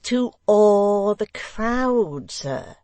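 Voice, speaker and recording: A woman's voice: mid-range voice, expressive, very slow, mellow, no room sound, voice pushed up. A woman voicing a testy, flustered male Lord Mayor who dithers and fusses. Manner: fussy, dithering.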